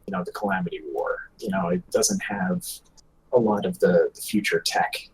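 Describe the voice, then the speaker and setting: A man's voice: on the low side, sing-song, moderate pace, creaky, poor audio. Man chatting casually over noticeable background noise. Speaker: podcast co-host. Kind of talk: casual hobby podcast chat.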